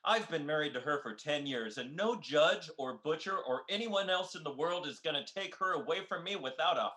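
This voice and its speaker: Man playing an exasperated, forceful radio-sitcom husband. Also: fairly high pitch, lively intonation, normal speed, low-quality recording. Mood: indignant, earnest. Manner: emphatic, indignant theatrical delivery. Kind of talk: old-time radio sitcom acting.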